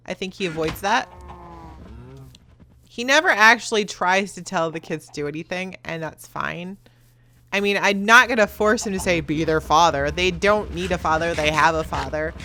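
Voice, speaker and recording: Loud, fast-talking young woman. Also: on the low side, a little hiss or hum. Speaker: YouTuber host. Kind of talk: casual Q&A while playing a video game.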